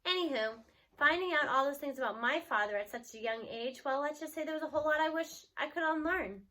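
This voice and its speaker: A woman's voice: high-pitched, fairly quick, sharp tone, low-quality recording. A woman voicing a spry, folksy grandmother in a children's storybook, frank and affectionate in a colloquial way. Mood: rueful, confiding.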